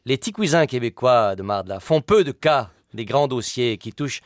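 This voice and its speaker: A man's voice: mid-range voice, expressive, normal speed, no room sound, studio quality, pitched below the performer's own voice, lightly acted. A Quebec man playing a pompous, haughty intellectual in a comic radio monologue, with theatrical, affected diction, indignant and rambling. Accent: Quebec French. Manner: theatrical, affected formal diction with crude slips.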